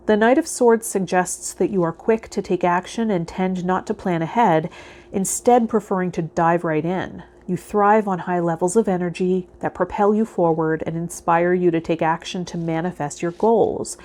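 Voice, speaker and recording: A woman hosting a spiritual vlog, explaining in a measured, reflective, encouraging way, like a calm guide. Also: fairly low pitch. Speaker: host of a tarot vlog. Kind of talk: calm guided explanation, part read, part informal.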